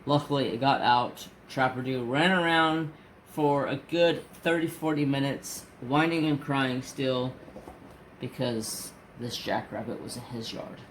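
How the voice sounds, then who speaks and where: A man's voice: medium pitch, measured pace, a little hiss or hum, low-quality recording. A man in his forties chatting casually in a rambling, storytelling way while crafting. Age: 40s. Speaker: crafting vlogger.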